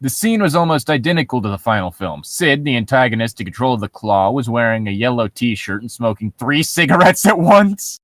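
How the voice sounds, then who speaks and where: A man's voice: medium pitch, very animated, a little fast, few pauses, fairly bright tone, no room sound, clean studio sound. A man co-hosting a show, reading stories aloud and breaking off for wry, nitpicking comments in a casual way. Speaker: podcast co-host reading and mocking internet horror stories.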